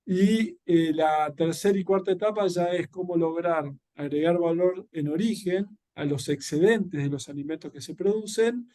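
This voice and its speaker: A man's voice: on the high side, dark tone. An Argentine man speaking earnestly and reflectively as an interview guest, explaining his work. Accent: Argentine Spanish. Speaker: interview guest, social entrepreneur and educator.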